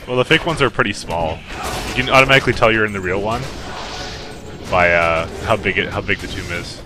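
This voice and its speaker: A man in his twenties chatting casually while playing a co-op game, relaxed and chuckling, often pausing on fillers. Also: fairly low pitch, noisy background. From Canada. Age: 20s. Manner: laid-back, chuckles often, trails off with fillers. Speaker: let's play co-host. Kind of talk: casual gaming chat. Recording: game music behind voice chat. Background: game music and battle sounds.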